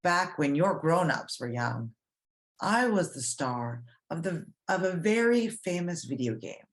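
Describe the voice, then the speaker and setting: A woman's voice: deep voice, sing-song, a little slow, exaggerated voice. A woman reading the part of a friendly storybook snake who explains things simply to children. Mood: friendly, proud. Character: friendly cartoon snake, former video game star. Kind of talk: children's read-aloud storybook.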